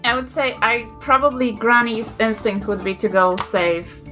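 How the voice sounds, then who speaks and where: A woman's voice: fairly high pitch, normal speed, bright, forceful tone, soft background music. A woman with a New Zealand accent, playing a tabletop game and thinking aloud hesitantly about her moves. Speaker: player. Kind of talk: casual tabletop play.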